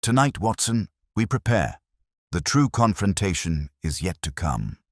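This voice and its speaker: A man's voice: deep voice, sing-song, measured pace, no room sound, clean studio sound, pitched above the performer's own voice, exaggerated voice. A man voicing a grave, brilliant detective in an audiobook story, with clipped, solemn, theatrical pronouncements and a scornful edge. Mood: grim, ominous.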